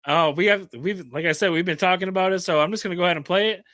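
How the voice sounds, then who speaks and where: Male host, high, sharp-toned, dry sound, laid-back and laughing. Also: no room sound. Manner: easygoing, quick to laugh. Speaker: podcast host. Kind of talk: casual interview chat.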